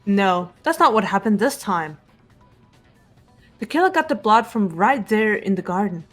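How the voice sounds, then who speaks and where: A woman's voice: mid-range voice, lively intonation, measured pace. Composed teenage girl detective, voiced by a woman. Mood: matter-of-fact. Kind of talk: fan-voiced visual novel game dialogue. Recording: music behind the voice.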